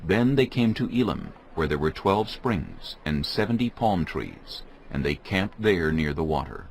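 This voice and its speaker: A man's voice: low-pitched, animated, measured pace, slight background noise, rough recording. A man narrating scripture as a dramatic story, in a solemn storytelling manner.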